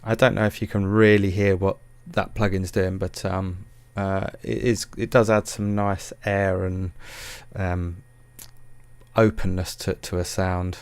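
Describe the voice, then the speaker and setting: A man giving a casual software tutorial in a hesitant, rambling, informal and self-deprecating way. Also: deep voice, level tone, unhurried, smooth. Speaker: music production tutorial presenter.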